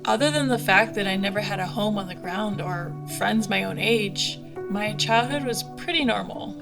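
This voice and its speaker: Casting: actress for frank young female pilot, rough past, mid-pitched, colloquial audio drama delivery over clear music. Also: moderate pace, close to an everyday voice. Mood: wry, nostalgic. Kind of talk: conversational audio drama acting.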